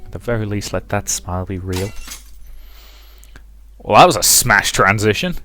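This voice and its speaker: A man's voice: lowish voice, expressive, normal speed, bright, forceful tone. A man reading a visual novel aloud as a let's player, mixing dramatic narration with casual commentary. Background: game music.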